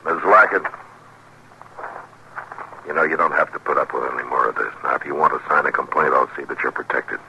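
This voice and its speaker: A man playing a steady, protective frontier marshal in a radio western, firm and laconic. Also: very deep voice, brisk, vocal fry, pauses often, heavily acted. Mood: warning. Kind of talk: old-time radio western acting. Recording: faintly noisy old radio recording.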